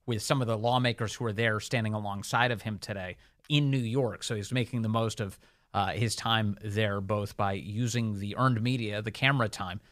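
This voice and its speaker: An American man hosting a talk radio show, giving opinionated off-the-cuff news commentary in a halting delivery. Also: fairly low pitch, steady tone, fairly bright tone, dry recording, clean studio sound.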